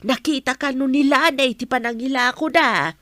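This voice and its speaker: A woman playing an exasperated village wife in a radio drama, complaining and sharp-tongued. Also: high voice, normal speed, no echo, studio quality. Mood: scolding, insistent.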